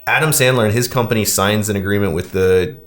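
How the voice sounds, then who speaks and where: A man with a fairly low voice hosts a casual movie podcast. He talks in a loose, rambling, conversational way, as if among colleagues. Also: fast talker. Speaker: podcast host.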